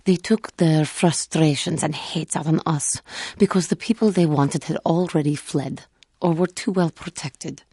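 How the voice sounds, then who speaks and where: An actress playing a solemn, haunted alien cat-woman Jedi in a video game, husky and formal, with guarded intensity. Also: low voice, a little mellow, no room sound, studio quality, full character voice. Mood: bitter, indignant. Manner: formal, measured, earnest speech with guarded intensity. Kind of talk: video game character dialogue.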